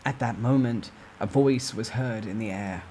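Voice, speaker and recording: A man's voice: medium pitch, normal speed, warm tone, slight background noise. A man reading a fantasy novel aloud as a dramatic, suspenseful first-person narrator. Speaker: amateur story narrator.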